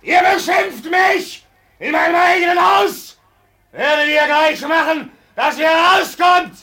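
A brutal farm master in an old radio play, with a very high, hard-edged voice. He is coarse and scornful, a drinker who blusters and curses as if everyone were against him. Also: takes it slowly, bright, forceful tone, raised voice, exaggerated voice. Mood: raging. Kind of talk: 1950s German radio drama acting. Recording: old radio play recording, partly off-mic.

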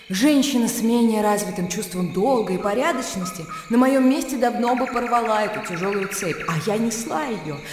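An actress playing a weary, self-pitying widowed sister in a radio play, speaking plaintively in bookish phrases. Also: female voice, a little high, darkish tone, echoey room, big-room sound, lightly acted.

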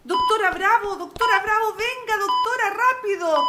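A woman's voice: very high-pitched, moderate pace, echoey room. A woman playing a frantic hospital nurse in a radio drama. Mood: urgent, alarmed.

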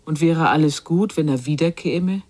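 An actress playing a grave, unsparing narrator in a literary radio drama, speaking in measured, incantatory short phrases. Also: female voice, deep voice, darkish tone. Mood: grave, melancholy. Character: female narrator of a child's inner torment, grave, unsparing.

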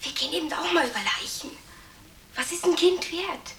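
In an echoey space, away from the mic, a high-pitched young accomplice to a burglar. The radio drama figure is nervous, high-strung and agitated. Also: female voice, expressive, normal speed, fairly bright tone. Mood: cold urgency. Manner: tense, agitated. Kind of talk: radio play acting. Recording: echoey, distant, faintly noisy.

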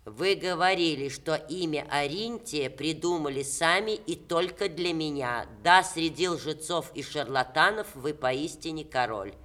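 Imperious older actress character, vain, mocking and sharp-tongued, grand theatrical delivery for vintage radio drama. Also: female voice, sits low, measured pace, hardly any pauses. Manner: haughty, commanding, theatrical delivery. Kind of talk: 1960s Soviet stage radio play acting.

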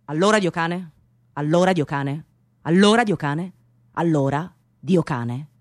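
A woman's voice: low-pitched, unhurried, no room sound. A woman acting in a radio play as an unstable figure, repeating words obsessively in theatrical outbursts. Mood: agitated. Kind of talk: theatrical radio drama monologue.

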